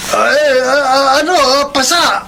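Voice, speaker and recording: Very high-pitched, bullying Filipino husband. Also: male voice, measured pace, gravelly, heavily acted. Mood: defensive. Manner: dismissive, blustering, evasive. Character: defensive, short-tempered philandering husband, brazen and bullying. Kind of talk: Filipino radio drama acting. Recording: noisy radio drama with background ambience.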